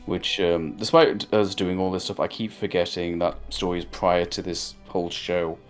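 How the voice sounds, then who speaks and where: A man with a low voice talks through a review in a loose, conversational way. He is opinionated and wanders through his points as a co-host, with faint music behind him. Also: little pitch movement, normal speed. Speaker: co-host of a cartoon review show.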